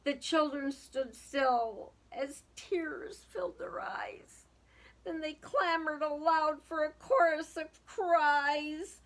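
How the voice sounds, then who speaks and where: A woman with a fairly high voice reads a storybook aloud at a very unhurried pace. She gives the verse a dramatic delivery and speaks to her young listeners in simple, casual asides. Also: fairly bright tone, poor audio. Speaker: teacher reading aloud to young children.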